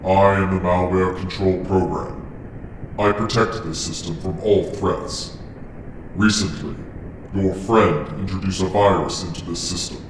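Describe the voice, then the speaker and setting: A man voicing a cold, imperious computer program that speaks in formal, measured commands. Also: sits low, pitched below the performer's own voice. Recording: roomy, distant, with faint noise.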